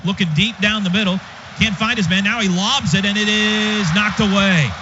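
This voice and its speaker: A man's voice: sits high, steady tone, moderate pace. A man doing live play-by-play radio commentary on football in clipped running description. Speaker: play-by-play announcer. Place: stadium radio booth. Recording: dull radio broadcast, stadium noise behind. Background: clear crowd and stadium noise.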